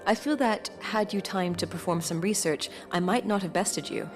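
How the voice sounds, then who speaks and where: A woman playing a calm, formal woman who speaks politely and with measured logic. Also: mid-range voice, music in the background, natural voice.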